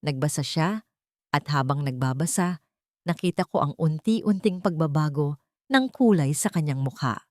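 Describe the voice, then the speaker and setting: A woman with a fairly low voice narrates a scripted drama. Her delivery is deliberate, solemn and full of feeling, recorded close and dry. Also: a little mellow, no room sound. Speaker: audio story narrator. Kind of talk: dramatic scripted first-person story narration.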